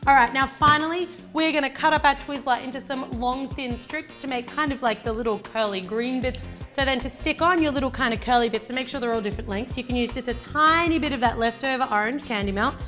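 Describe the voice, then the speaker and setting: A woman's voice: high-pitched, quick pace, hardly any pauses, a bit bright, soft background music. A woman in her thirties with an Australian accent, hosting a cooking demonstration in a home kitchen and chatting through each step. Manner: chatty, upbeat step-by-step instructions. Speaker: baking channel host.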